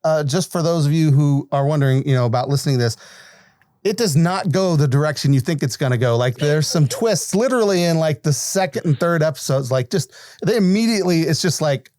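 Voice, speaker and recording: A man hosting a casual interview, speaking with effusive enthusiasm and pausing to gather his thoughts. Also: fairly high pitch, animated, a little mellow, no room sound, clean studio sound. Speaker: podcast host.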